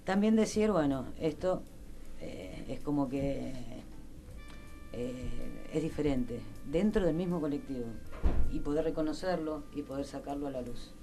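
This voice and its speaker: Argentine female radio host, deep mellow voice, casual halting delivery over faint music. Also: lively intonation. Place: radio studio.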